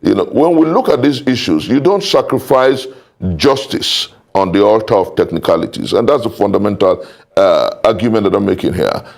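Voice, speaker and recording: A man's voice: on the low side, animated, vocal fry, darkish tone. A man with a Nigerian accent making an emphatic, formal argument in a political debate, repeating phrases for weight. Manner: emphatic, formal, deliberate repetition. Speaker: political panel guest.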